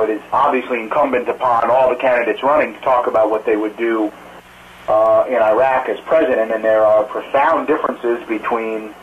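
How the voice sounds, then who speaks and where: A man speaking as a campaign spokesman, answering press questions in a careful, measured, halting way over a telephone conference call. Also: fairly low pitch. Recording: noisy tinny phone line.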